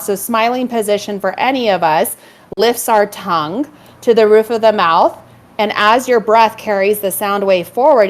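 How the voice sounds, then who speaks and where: A woman from California explains vocal technique in a steady, mid-pitched voice. A little vocal fry colors her words, and she fills her pauses with "um" as she works through each point. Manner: fluent, explanatory, many "um"s. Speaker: voice coach.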